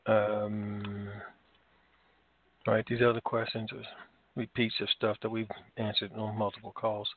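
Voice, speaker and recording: A man's voice: lowish voice, completely flat delivery, unhurried, no room sound. Man moderating a muffled, dry conference call. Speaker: co-host reading listener questions. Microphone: phone conference line. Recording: dull lo-fi conference call audio.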